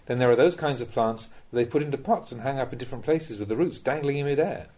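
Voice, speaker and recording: A man with a slightly husky voice reading a Buddhist Dhamma talk aloud as a monk, in a measured, instructive way that addresses the listener directly. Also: medium pitch, mellow.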